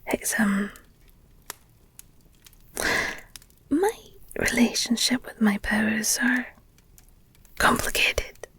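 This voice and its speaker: A woman playing an affectionate, candid werewolf girlfriend in an intimate ASMR audio roleplay, speaking tenderly and hesitantly in a breathy whisper. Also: fairly high pitch, a bit bright. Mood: thoughtful, gentle. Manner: slow, tender, hesitant, confiding. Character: affectionate werewolf girlfriend, gentle, candid, wistful about her past.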